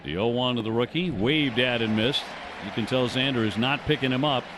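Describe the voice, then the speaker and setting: An American man calling live baseball play-by-play on radio in a measured, descriptive manner. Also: mid-range voice, background noise. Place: ballpark broadcast booth.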